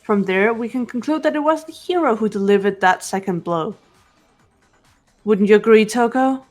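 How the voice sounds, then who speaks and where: A woman's voice: medium pitch, soft background music, close to an everyday voice. A woman playing a cool-headed young detective girl. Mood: accusing. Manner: measured, explanatory. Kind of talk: fan voice acting of a game.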